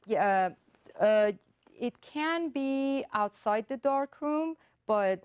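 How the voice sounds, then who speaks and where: Artist lady, first language persian, talks slow with lots of ums, smooth clear voice, dry sound. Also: high voice, animated, no echo, dull audio. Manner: careful, step-by-step explanation with frequent ums and uhs. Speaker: artist giving a demonstration. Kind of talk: explaining her work and technique.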